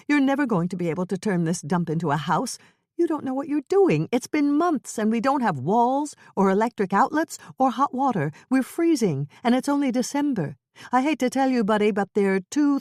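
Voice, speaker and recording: A woman voicing a frazzled young mother in an audiobook, shrill and tearful in her outbursts. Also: normal speed, hardly any pauses, a little mellow, no echo, clean studio sound, lightly acted. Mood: upset, shrill. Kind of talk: audiobook character voice.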